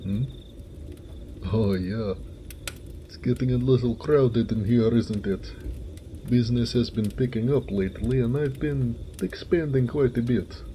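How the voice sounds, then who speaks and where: A man playing a big, warm-hearted, jovial orc blacksmith in an affectionate, folksy, one-sided romantic audio roleplay. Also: medium pitch, a little slow, a little hiss or hum, lightly acted, low-quality recording. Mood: proud, relaxed.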